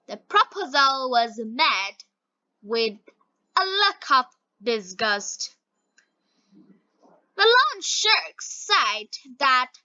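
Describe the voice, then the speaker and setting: A woman reading scripted story narration for language learners, word by word with frequent pauses. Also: high-pitched, bright, forceful tone, no room sound, highs cut off.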